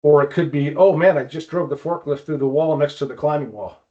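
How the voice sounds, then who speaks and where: A man's voice: fairly high pitch, brisk, warm tone. Man explaining informally on slightly dull audio. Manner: conversational, explanatory. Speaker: presenter.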